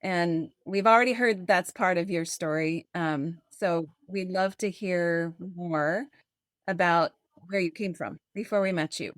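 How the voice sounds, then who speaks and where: A woman's voice: fairly low pitch, measured pace, no room sound, studio quality. A woman hosting a podcast in a conversational interview style. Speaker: podcast host.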